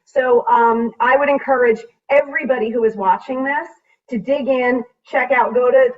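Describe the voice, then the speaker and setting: A woman with a fairly high voice speaks off the cuff as a state representative on a panel. The sound is phone-like and distant from the mic. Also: steady tone, moderate pace. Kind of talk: unscripted panel remarks.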